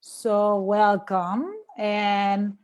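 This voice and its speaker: A woman's voice: mid-range voice, flat delivery, very slow, darkish tone. A woman hosting a live interview, enthusiastic and repeating words as she welcomes her guest. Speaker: podcast host.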